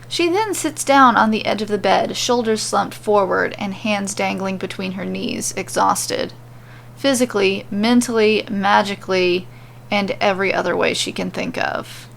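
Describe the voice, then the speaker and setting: A woman's voice: medium pitch, vocal fry, a little hiss or hum. A woman hosting and narrating a story podcast in an informal, conversational way. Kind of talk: casual chat and expressive story narration.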